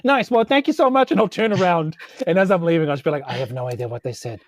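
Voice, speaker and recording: A man, improvising casual role-play in a halting, politely apologetic way. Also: fairly high pitch, dry recording. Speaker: tabletop game player.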